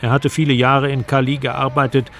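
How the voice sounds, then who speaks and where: A man's voice: mid-range voice, flat delivery, normal speed, fairly bright tone, slight background noise. A man giving sober, scripted storytelling narration in a radio drama.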